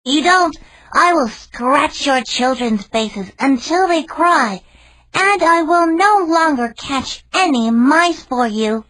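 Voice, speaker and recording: A squeaky, scheming storybook cat. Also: male voice, expressive, takes it slowly, sharp tone, heavily acted, rough recording. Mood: eager. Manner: eager, persuasive, urgent. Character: scheming clever talking cat, male. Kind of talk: storybook character voice.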